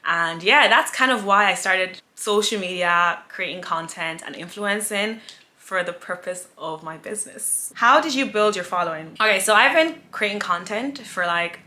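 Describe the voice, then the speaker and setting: A woman's voice: medium pitch, animated, moderate pace, bright, forceful tone. A woman in her twenties from Nigeria, chatting casually and giggling as she answers viewer questions in a sit-down vlog. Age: 20s. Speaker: influencer, vlogger.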